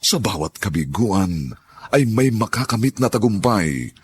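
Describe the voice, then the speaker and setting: Man narrating a radio drama opening, lofty and sweeping, lively pitch, slight hiss. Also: measured pace. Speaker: radio drama program announcer.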